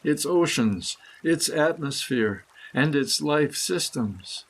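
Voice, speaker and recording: A man reading his own scripted meditative prose poem in a solemn, deliberate manner with dramatic phrasing. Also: a little high, animated. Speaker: author reading own essay.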